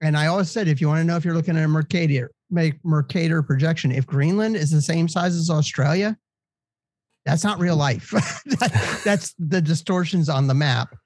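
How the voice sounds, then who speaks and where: A man's voice: on the high side, moderate pace, darkish tone, no room sound, studio quality. An American man with a congested nose hosts a casual co-hosted discussion in a jokey, rambling way. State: congested from allergies. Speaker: podcast host.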